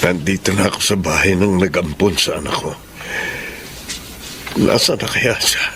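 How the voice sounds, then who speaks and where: A man's voice: low-pitched, normal speed, music in the background. An actor with a Filipino accent playing a humble, earnest, emotional father who works as a driver in a radio drama. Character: humble grieving father working as a family driver. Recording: noisy radio drama recording.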